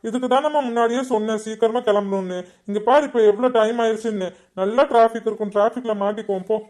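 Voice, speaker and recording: A man's voice: high-pitched, steady tone, fast talker, darkish tone, close to an everyday voice. A man playing an impatient grown son who hurries his family along, fretting about the time.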